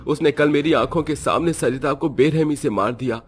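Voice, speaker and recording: A man's voice: on the high side, moderate pace, breathy edge, pitched above the performer's own voice. A man voicing frightened, bewildered village men in a horror story, agitated and dramatic. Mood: distressed.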